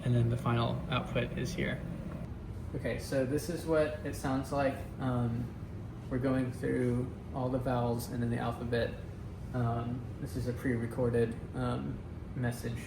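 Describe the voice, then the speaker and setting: A young man explaining a technical device as a student presenter, in a halting, hesitant way. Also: mid-range voice, little pitch movement, creaky. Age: young adult. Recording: noisy, echoey room recording.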